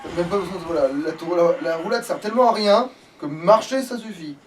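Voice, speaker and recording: A man's voice: sits high, brisk. A man giving casual let's play commentary on a video game, grumbling sarcastically and swearing freely. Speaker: let's player. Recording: slightly distant mic with faint noise.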